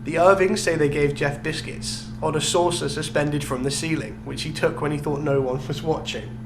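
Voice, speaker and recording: Higher-voiced man over noisy hum. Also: steady tone, normal speed. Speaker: podcast co-host. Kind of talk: casual storytelling chat. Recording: clearly noisy recording with hum.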